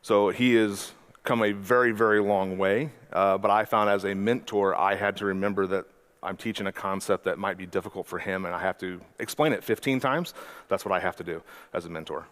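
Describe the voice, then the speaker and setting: A man's voice: low-pitched, brisk, fairly bright tone. A man in his forties giving a conference talk as a mentor, conversational and often correcting himself mid-sentence. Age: 40s. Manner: conversational, self-correcting presenter with frequent "uh".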